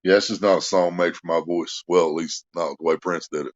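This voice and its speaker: A man's voice: low voice, fairly even intonation, a little fast, no room sound, muffled recording. A man, chatting crudely at home and joking around. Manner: crude joking, bursts into loud off-key singing. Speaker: vlogger. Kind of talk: casual chat at home.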